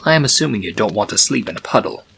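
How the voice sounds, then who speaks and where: A man's voice: on the low side, animated, very fast, gravelly, a bit bright, a little hiss or hum. A man voicing a grumpy, reluctant male chaos spirit trapped in stone, exasperated and grudging, in a fan story reading. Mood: grudging, muttering.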